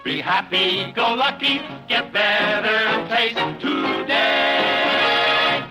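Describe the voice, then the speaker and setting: A woman's voice: fairly high pitch, very slow. A woman singing a 1950s radio advertising jingle. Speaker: jingle singer. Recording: echoey old radio with loud music.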